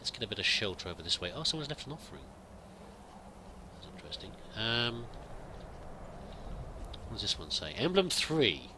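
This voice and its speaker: A man's voice: fairly low pitch, very animated, moderate pace, pauses often, noisy background. A man thinking aloud while roleplaying a pilgrim in a video game, musing and hesitant with many restarts. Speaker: let's play gamer roleplaying a pilgrim. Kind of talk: in-character gameplay commentary.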